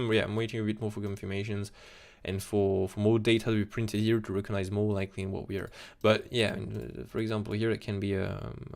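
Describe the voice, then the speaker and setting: Young french guy streaming, talks fast, low gravelly voice, keeps restarting, hyped. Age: young adult. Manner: excitable, repeats "absolutely insane", many restarts. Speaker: crypto chart analyst streamer. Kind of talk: casual live-stream commentary.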